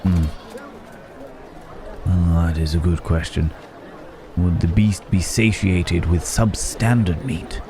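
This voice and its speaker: A man's voice: low voice, fairly even intonation, slow pace, long pauses, music in the background. A man playing a courteous, wary city-bred werewolf in an ASMR roleplay, hesitant and musing with old-fashioned wordy phrasing. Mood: pondering. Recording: market crowd and outdoor ambience noise. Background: bustling village market ambience.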